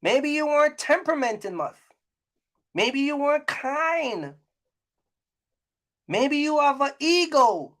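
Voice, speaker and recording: A man's voice: very high voice, very animated, takes it slowly, pauses often, sharp tone. A man hosting a casual, opinionated vlog, speaking in an emphatic, colloquial way and repeating his points. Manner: repetitive, emphatic, colloquial, chuckles at own points. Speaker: YouTube host, trucking business creator.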